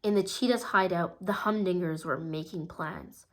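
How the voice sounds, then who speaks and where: A woman reading a children's storybook aloud in a gentle, steady storytelling manner, with small slips now and then. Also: lowish voice, normal speed, a little hiss or hum. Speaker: storybook reader. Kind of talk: children's read-aloud narration.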